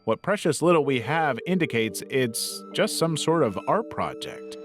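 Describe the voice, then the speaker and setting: A man narrating a scripted documentary-style piece in a measured, serious way. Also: medium pitch, big pitch swings. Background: background music.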